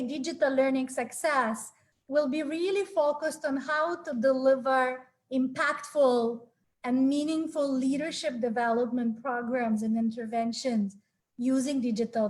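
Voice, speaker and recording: A woman in her forties from Ukraine, giving a friendly, earnest webinar talk over a video call, with many fillers and restarts. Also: high voice. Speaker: webinar speaker. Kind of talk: presenting a live webinar talk. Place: small home room. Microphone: laptop webcam on a video call.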